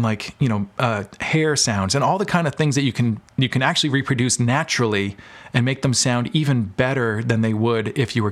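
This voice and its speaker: A man with a mid-pitched voice talks as a guest on a podcast. He explains his craft in an informal, conversational way, reaching for practical examples as he goes. He is recorded in a small carpeted bedroom with bare walls. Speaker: podcast guest, audio drama maker.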